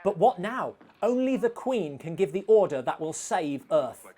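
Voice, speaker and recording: A woman's voice: on the low side, big pitch swings, normal speed, mellow. A woman narrating a scripted film review in a dry, sarcastic manner.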